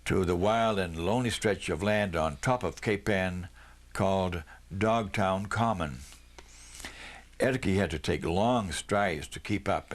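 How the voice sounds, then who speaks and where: Male storybook reader, lower-pitched voice, narrating prose with occasional small stumbles. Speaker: audiobook reader.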